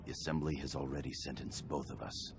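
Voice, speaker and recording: A man playing a loyal, sorrowful dwarf knight in a video game, speaking gravely and formally. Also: rumbling low, flat delivery, fairly quick. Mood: grave, urgent. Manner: earnest, formal. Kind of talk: video game cutscene acting. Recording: dramatic music under the voice.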